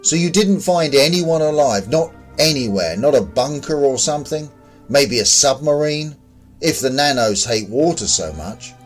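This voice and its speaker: A man's voice: a little high, animated, normal speed, faint music behind, natural voice. A man voicing a resurrected lone male survivor in a story, wry, wary and conversational. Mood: questioning.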